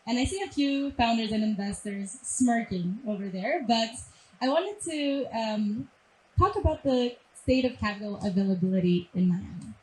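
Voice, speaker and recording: A woman whose first language is Greek, giving a measured conference presentation and stressing key findings. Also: mid-range voice, animated, darkish tone, slight background noise. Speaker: presenter of a study. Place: event hall. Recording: echoey event hall.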